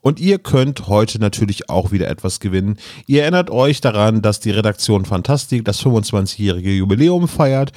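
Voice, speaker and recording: A man's voice: on the low side, lively intonation, brisk, few pauses, darkish tone, dry recording, clean studio sound. A man hosting a podcast in a casual, chatty style. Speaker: podcast host. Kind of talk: casual podcast chat and announcements.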